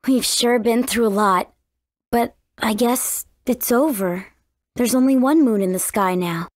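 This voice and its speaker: A woman's voice: fairly high pitch, measured pace, no room sound, clean studio sound, close to an everyday voice. A woman voicing a spirited, cautious fifteen-year-old warrior princess in a video game, earnest and youthful in her delivery. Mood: wistful. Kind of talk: English video game voice acting.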